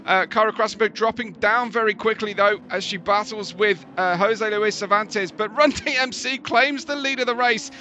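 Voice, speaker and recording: Gravelly-voiced guy calling a sim race on stream, bright punchy tone, slightly muffled audio. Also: high voice, normal speed, few pauses, slight background noise. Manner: chatty, laughing asides, excitable during race action. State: gravelly, tired voice. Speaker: race commentator. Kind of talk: sim racing live-stream commentary.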